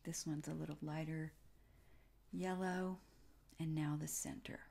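A woman with a notably low voice narrates a how-to tutorial in a casual, step-by-step way. She speaks very slowly, breaking her instructions into short phrases with pauses between them. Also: fairly even intonation, creaky, mellow. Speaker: tutorial host.

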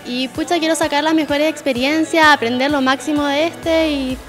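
Give me an eager eighteen-year-old Chilean woman with a high voice. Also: steady tone, normal speed. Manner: eager, colloquial. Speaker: pageant contestant. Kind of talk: casual interview. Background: music.

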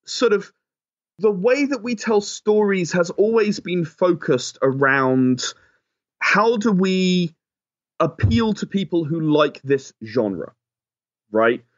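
A man's voice: high voice, expressive, slow pace, lo-fi sound. A man being interviewed as a game creator and producer, explaining his work conversationally.

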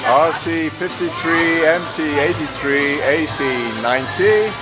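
The fairly high-voiced man behind the camcorder at a family bowling night, cheering each turn in short bursts as music blasts around him. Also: unhurried. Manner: encouraging, short exclamations. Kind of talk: casual live commentary. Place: large bowling alley, indoors.

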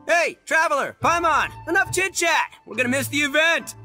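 A man's voice: very high-pitched, lively intonation, moderate pace, over loud music. A man voicing a boastful, boyish oni gang leader in a video game, with brash, slangy, theatrical swagger. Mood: gleeful. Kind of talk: animated video game character acting.

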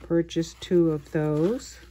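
A woman hosting a haul video of her craft purchases, talking in an unhurried way with many small pauses and fillers. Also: low-pitched, fairly even intonation, warm tone. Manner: slow, unhurried, halting with fillers. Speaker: craft channel host. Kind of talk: show-and-tell haul video. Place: indoors at a tabletop, voice-over of hands.

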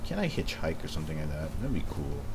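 A man's voice: very deep voice, very animated, fast, background noise. A young man from Malaysia doing casual let's-play commentary, joking, swearing and laughing at the game. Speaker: gaming video host. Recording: music clearly under the voice. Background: game music and vehicle sounds.

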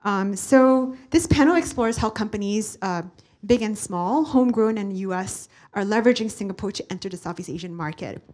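A woman's voice: medium pitch, moderate pace, darkish tone. A woman in her thirties moderating a conference panel on stage, speaking casually with frequent fillers into a handheld microphone. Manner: casual, many fillers, upbeat. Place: stage of a large hall with audience. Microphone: handheld mic.

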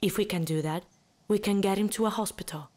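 An actress playing a composed, matter-of-fact doctor in an audio drama, explaining in a precise way. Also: female voice, mid-range voice, a little fast, a little mellow, close to an everyday voice. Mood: composed, explanatory. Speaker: doctor, genetics specialist.

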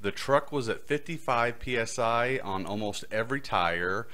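A man's voice: medium pitch, expressive, moderate pace, bright, forceful tone. A middle-aged man hosting a podcast, telling a prepared personal story into a close microphone. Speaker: podcast host. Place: small studio set indoors. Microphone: close boom-arm microphone.

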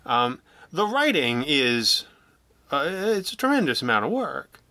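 A man in a casual interview, talking haltingly and self-deprecatingly as he acts out imagined remarks. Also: on the high side, sing-song, sharp tone, no echo. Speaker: guest, audio drama writer and producer.

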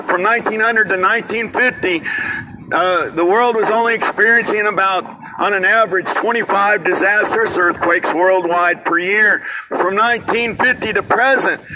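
An older man with a high voice proclaims a sermon on a busy street corner, calling out to people walking past. He is caught from across the road by a distant camera, with traffic noise clearly audible around him. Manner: loud, proclaiming to passersby. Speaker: street preacher. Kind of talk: open-air street preaching. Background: passing cars and trucks.

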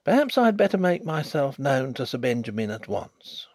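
A man's voice: mid-range voice, very animated, moderate pace, a little mellow, dry recording, studio quality, pitched above the performer's own voice. A man voicing a complacent, pompous middle-aged private inquiry agent in a story reading.

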